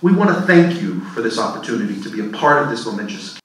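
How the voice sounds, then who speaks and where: A man's voice: lowish voice, sing-song, quick pace, fairly bright tone. A man giving a formal speech at a university partnership launch, reading prepared remarks with a few restarts. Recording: echoey hall from far off, faint noise.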